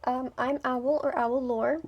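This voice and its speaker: A young woman with a speech impediment rambling through a personal vlog, with many ums, pauses and restarts, moving between a smooth, businesslike tone and a playful one. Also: high-pitched, fairly even intonation, dull audio. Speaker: vlogger.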